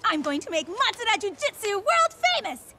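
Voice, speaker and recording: A woman's voice: very high voice, sing-song, normal speed, vocal fry, pushed and bright, slight background noise, exaggerated voice. A woman playing a brash, playful and bossy big sister who fights, in a video game cutscene, with a teasing, theatrical manner. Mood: cheerful, eager.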